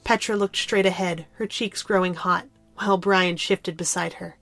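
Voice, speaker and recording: A woman's voice: fairly low pitch, fairly even intonation, normal speed, fairly bright tone, no echo, soft background music. A woman reading scripted story narration as the narrator of an audio drama.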